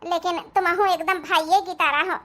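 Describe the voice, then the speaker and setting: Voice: extremely high pitch, fairly even intonation, normal speed, sharp tone. Someone voicing a tiny-voiced, meek village girl. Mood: affectionate. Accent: Awadhi. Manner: plaintive, naive. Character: meek, affectionate young village sister-in-law.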